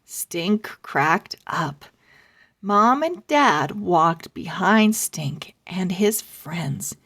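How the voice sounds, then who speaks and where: A woman reading a children's book aloud as host, with deliberate, theatrical, expressive narration. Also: on the low side.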